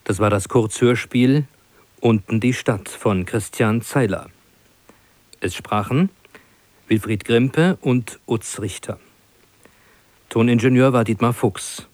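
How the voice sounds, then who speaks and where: A man announcing on the radio in a formal, scripted style with measured phrasing. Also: mid-range voice, a little mellow, no room sound. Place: dry broadcast studio.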